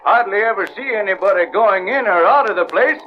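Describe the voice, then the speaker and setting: High-voiced, animated American cab driver. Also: male voice, brisk. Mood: musing. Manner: folksy, colloquial. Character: obliging small-town cab driver, plain-spoken man. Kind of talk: 1930s-40s radio serial acting. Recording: dull old radio recording, faint noise.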